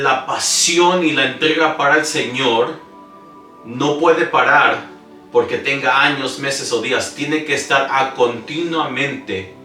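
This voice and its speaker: Man preaching earnestly, bright roomy sound. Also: mid-range voice. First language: English-dominant speaker preaching in Spanish. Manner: earnest preaching with scripture reading and slips. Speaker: pastor. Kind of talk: sermon. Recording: roomy, distant mic with soft music. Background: soft instrumental music.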